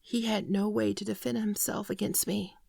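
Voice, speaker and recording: A gentle shapeshifter alien woman, played by an actress, confesses her sorrows in an ASMR roleplay. Her soft voice often thins toward a whisper and crackles with vocal fry. She speaks in an earnest, halting way, in a very dry recording. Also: mid-range voice, level tone, dry recording. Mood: grave, regretful. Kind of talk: ASMR roleplay monologue, scripted.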